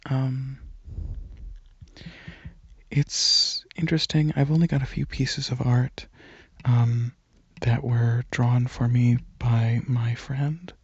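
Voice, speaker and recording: A young man rambling softly in a casual ASMR chat, hesitant and drifting toward a near-whisper, close to the microphone in a slightly lo-fi recording. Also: medium pitch, fairly even intonation, takes it slowly, husky, dry recording. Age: young adult. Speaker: ASMR channel creator.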